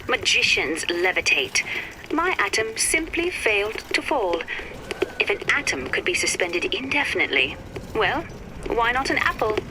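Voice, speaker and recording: An actress playing a cool, erudite, wry and melancholy physicist in a game audio log, speaking in precise, measured, formal phrases. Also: female voice, fairly high pitch. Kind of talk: scripted dramatic audio-log monologue for a game. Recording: noisy, crackly vintage audio-log recording.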